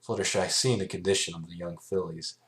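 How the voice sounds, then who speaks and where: A man's voice: low-pitched, steady tone, fairly quick, fairly bright tone. A man narrating a scripted story as an amateur reader, now and then stumbling over the words. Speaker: amateur fan fiction narrator.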